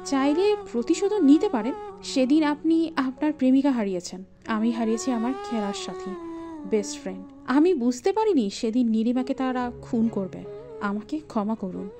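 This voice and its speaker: A woman's voice: fairly high pitch, lively intonation, moderate pace, natural voice. A woman playing a bold but conflicted female crime boss in an audio story, intense and dramatic. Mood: remorseful. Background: music.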